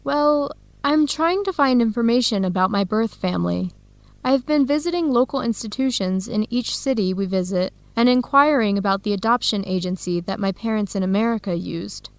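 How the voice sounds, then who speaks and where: An actress playing an eager, earnest young Ethiopian-American student researcher in a radio drama for English learners, speaking clearly and in measured phrases. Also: female voice, on the high side, expressive, dry recording. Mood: apologetic, earnest. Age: young adult.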